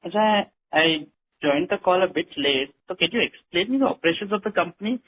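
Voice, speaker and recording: High-voiced Indian man, noisy call line. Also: animated, a little fast. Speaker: investor asking questions. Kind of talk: questioning on an earnings call. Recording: dull, noisy phone conference line.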